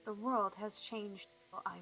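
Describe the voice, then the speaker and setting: A woman voicing a cruel, domineering queen mother, speaking her lines low, stern and clipped. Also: steady tone, moderate pace, pushed and bright, no room sound, soft background music, low-quality recording. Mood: cold. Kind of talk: reading game lines aloud.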